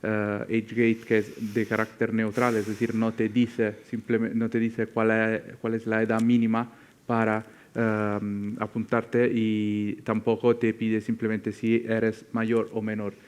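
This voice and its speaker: Italian-accented man, smooth even low voice. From Italy. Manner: halting, searching for words. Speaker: tech company representative on a panel. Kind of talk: unscripted panel explanation.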